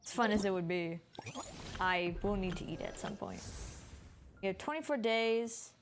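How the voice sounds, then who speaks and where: A woman's voice: medium pitch, moderate pace, slight background noise. A woman giving casual game livestream commentary, informal and thinking aloud. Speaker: game streamer. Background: music.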